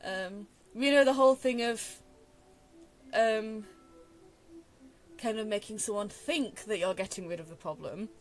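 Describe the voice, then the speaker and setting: A woman's voice: mid-range voice, measured pace, long pauses, soft background music. A young woman giving casual let's play commentary, rambling and thinking aloud. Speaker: gamer commenting on her playthrough.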